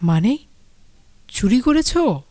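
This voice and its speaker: An actor voices a naive, timid village boy of about ten. The audio story voice swings widely in pitch and sounds dry and close. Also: very animated, slow, warm tone, no room sound. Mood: shocked. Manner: timid, easily shocked.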